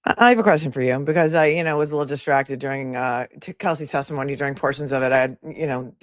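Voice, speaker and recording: Female livestream host for legal commentary. Low voice, dry close sound, opinionated and conversational. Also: fast talker, no echo. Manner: opinionated, skeptical, conversational asides. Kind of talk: casual live trial commentary.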